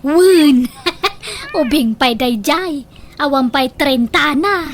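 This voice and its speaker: Woman acting high-voiced, teasing older Ilocano sister. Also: expressive, drawn-out words, full character voice. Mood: nagging, teasing. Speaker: radio drama actor. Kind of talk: Ilocano comedy radio drama acting. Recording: faint background noise and ambience.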